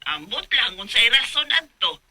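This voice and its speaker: A woman's voice: a little high, big pitch swings, normal speed, pushed and bright, exaggerated voice. An actress playing a placating, resigned mother of grown daughters in a radio drama. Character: middle-aged or older mother, placating and resigned. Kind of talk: Filipino radio drama acting.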